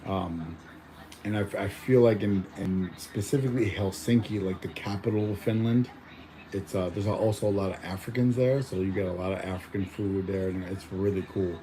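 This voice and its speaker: A man's voice: deep voice, moderate pace, dark tone, rough recording. A young man born in Mexico chatting casually at home, rambling with lots of fillers and restarts. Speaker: vlogger. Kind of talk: casual chat.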